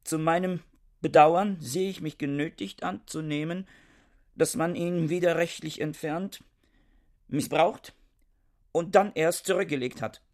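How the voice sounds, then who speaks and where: An actor playing a pedantic, irritable scholar of about forty in a radio play, speaking in a formal, stilted, indignant manner. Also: male voice, on the high side, unhurried, a little mellow. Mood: stiff, formal. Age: 40s. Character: pedantic, irritable forty-year-old male scholar, cornered husband. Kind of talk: German radio play acting.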